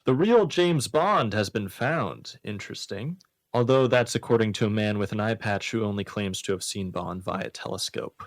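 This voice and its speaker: Guy with a lowish voice reading jokes on radio, dry mock-serious delivery, close studio sound. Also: animated, moderate pace, dry recording. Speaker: radio comedy show host.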